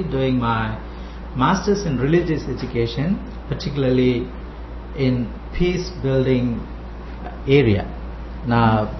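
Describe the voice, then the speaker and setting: A man's voice: mid-range voice, noisy background. A middle-aged man from India with an Indian accent, introducing himself formally as a guest on a local TV show. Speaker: guest, seminary student. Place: small studio with green screen. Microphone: desk mic on stand. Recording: roomy, muffled public-access studio audio.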